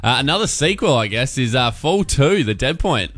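A man with an Australian accent hosting a casual radio chat, easygoing and quick to laugh. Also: medium pitch, sing-song, fairly bright tone. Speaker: radio host.

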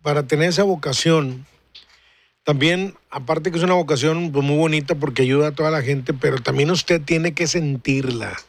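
A man in his forties with a slightly high voice hosts a radio show. He rambles in a friendly way and circles back over his words. The studio sound is dry and close. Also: no echo. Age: 40s. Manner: friendly, rambling, repeats himself. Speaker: radio host. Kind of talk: casual radio chat.

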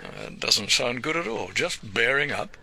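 Gravelly, animated police chief, acted by a man. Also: medium pitch, unhurried, full character voice, rough recording. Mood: jovial, friendly. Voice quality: gravelly, husky. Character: shrewd, genial male senior crime-fighting chief. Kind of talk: audio drama acting.

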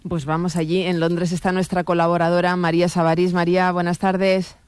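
Woman radio host with even pitch. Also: brisk, smooth, no room sound. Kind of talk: lively radio talk show hosting.

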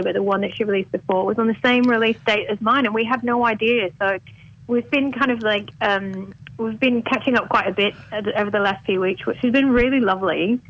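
A woman from Wales with a soft, English-leaning Welsh accent, chatting enthusiastically as a singer-songwriter guest. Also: fairly high pitch, low-quality recording. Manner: bubbly, enthusiastic. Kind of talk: casual interview chat.

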